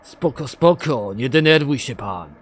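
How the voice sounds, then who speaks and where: A man's voice: mid-range voice, lively intonation, unhurried. A man playing a talkative taxi passenger with a head cold in an audio drama. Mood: mildly defensive.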